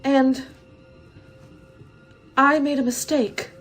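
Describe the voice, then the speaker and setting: A young woman playing a trusting, childlike stowaway in a radio drama, with a naive, halting, pleading manner. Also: high-pitched, fairly even intonation, soft background music. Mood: subdued. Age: young adult.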